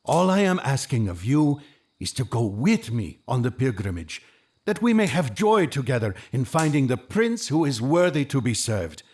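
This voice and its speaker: An actor playing an earnest, devout seeker in an audio drama, hopeful and resolute, with formal, impassioned delivery. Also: male voice, medium pitch, big pitch swings, moderate pace, darkish tone, husky. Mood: pleading.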